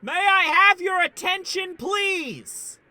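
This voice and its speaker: A woman playing a theatrical space agency representative in an amateur game dub, giving a grandiose, hammy pitch. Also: expressive, slow pace, sharp tone, heavily acted.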